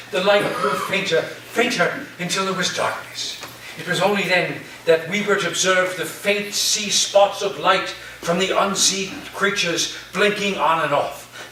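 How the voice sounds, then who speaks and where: A man acts out an eccentric male professor with a high-set voice and a slow, grandiose, dreamy way of telling things. The delivery is theatrical, as in a staged play reading. It is caught from a distance in a roomy space. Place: echoey room at a live staged reading. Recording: roomy, distant mic, faint noise.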